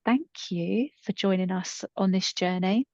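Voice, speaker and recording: A woman's voice: medium pitch, measured pace, a little mellow, no echo, lo-fi sound. A middle-aged woman co-hosting a podcast in casual, unscripted chat, explaining things conversationally. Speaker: podcast co-host and storytelling creator.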